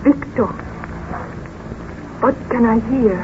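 An actress playing a gentle, anxious wife of a baron, speaking formally in 1930s radio serial style. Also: female voice, a little high, takes it slowly. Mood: frightened. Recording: noisy muffled 1930s radio recording.

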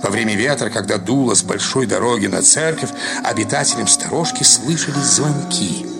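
A man's voice: on the low side, moderate pace, creaky. A man giving a dramatic literary reading as the narrator of a story, with music behind him. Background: loud music.

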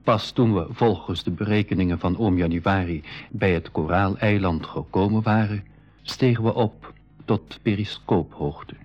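An actor playing a young adventurer who tells and takes part in a school radio play story. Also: male voice, lowish voice, normal speed, close to an everyday voice. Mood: narrating, suspenseful. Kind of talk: radio play narration and dialogue. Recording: dull 1980s radio drama recording.

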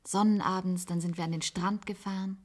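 An actress playing a wistful, resigned former secretary in a German science-fiction radio play, confiding in halting phrases. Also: female voice, lowish voice, steady tone, close to an everyday voice. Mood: wistful longing. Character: wistful former secretary, resigned patient living in an isolated institute.

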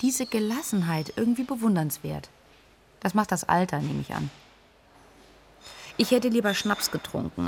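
A restless young woman played by an actress in a radio drama, with plenty of creak in a medium-pitched voice. She is blunt and matter-of-fact, as if telling her story in an interview. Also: slight background noise, lightly acted. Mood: restless, dry. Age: young adult. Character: restless, blunt young female medical student. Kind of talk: naturalistic radio play acting, interview-like narration.

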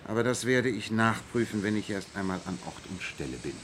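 A low-pitched, self-assured detective played by a man in a radio play. He lays out his reasoning in a measured, precise way, over a bed of light background noise. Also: expressive, close to an everyday voice. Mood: analytical, assured. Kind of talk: German radio play dialogue.

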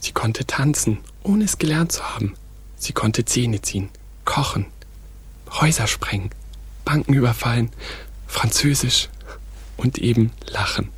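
An actor playing an exasperated, musing maestro in a radio play, with a breathy, half-whispered delivery. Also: male voice, medium pitch, lively intonation, measured pace, pauses often, no room sound, full character voice. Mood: wistful musing. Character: musing, exasperated gentleman maestro, pleading and reproachful.

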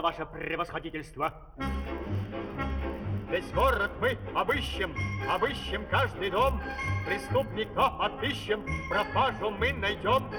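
An actor playing a zealous, boastful, bumbling policeman in a comic fairy-tale opera, with eager self-important delivery. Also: high-pitched. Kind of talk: comic opera singing and theatrical acting. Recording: distant vinyl opera recording over orchestra.

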